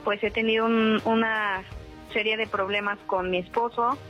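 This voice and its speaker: A woman's voice: fairly high pitch, steady tone, moderate pace, lo-fi sound, soft background music. A woman, calling in hesitantly and deferentially for advice. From El Salvador. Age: 50s. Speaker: caller seeking advice. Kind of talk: recounting a personal problem.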